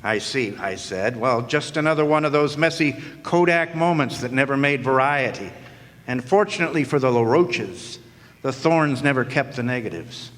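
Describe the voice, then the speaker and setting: A man's voice: medium pitch, expressive, moderate pace, fairly bright tone, close to an everyday voice. An actor playing a wisecracking hardboiled male private eye, narrating in a dry, sardonic noir radio style on a stage. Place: live stage performance in a hall. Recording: long hall reverb.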